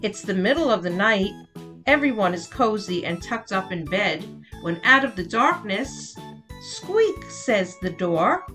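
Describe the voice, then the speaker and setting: Woman, children's storyteller, very varied pitch, unhurried phrasing, dramatic pauses, music behind. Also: expressive, a bit bright. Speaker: read-aloud host and storyteller. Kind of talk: expressive picture-book narration for children.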